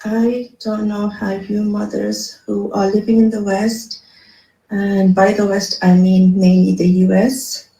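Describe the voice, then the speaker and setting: A woman's voice: mid-range voice, little pitch movement, takes it slowly, creaky, dark tone, roomy sound, rough recording. A woman from Afghanistan, a mother, speaking earnestly to other mothers and listing her daily chores one by one as she vents. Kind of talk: personal monologue to other mothers.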